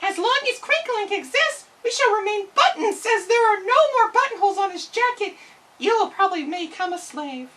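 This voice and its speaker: Tiny gloomy storybook mouse, super high squeaky voice. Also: female voice, animated, moderate pace, a bit bright, distant mic. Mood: doleful, warning.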